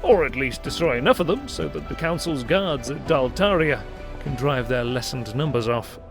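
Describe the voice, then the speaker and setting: A man voicing a gruff, decisive cavalry captain in a fantasy audiobook, urgent and commanding. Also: mid-range voice, expressive, normal speed, over music, voice pushed up. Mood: brisk, urgent. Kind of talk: dramatised fantasy audiobook character.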